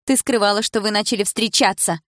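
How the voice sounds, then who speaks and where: A woman reading an audiobook, voicing a defensive, resentful teenage schoolgirl who turns shrill and accusing. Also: expressive, moderate pace, no echo, studio quality. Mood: bitter. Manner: shrill, accusing, near shouting.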